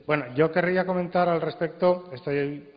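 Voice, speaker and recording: A man speaking on a congress panel, explaining and arguing his points in a measured way with stress on key words. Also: fairly high pitch, fairly even intonation. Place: large indoor congress hall. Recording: dull, echoey hall recording.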